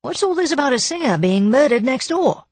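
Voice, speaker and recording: A jaunty young upper-class man, full of slangy cheer, as a woman reader plays him. The tone is slightly husky and lifted, recorded close and dry. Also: high voice, sing-song, brisk, a little mellow, no room sound, pitched above the performer's own voice, exaggerated voice.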